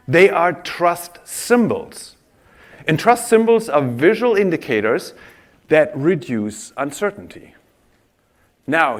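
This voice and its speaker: A man giving a conference presentation on stage, an animated storyteller who draws the audience in. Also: on the high side. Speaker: conference speaker. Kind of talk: keynote-style presentation.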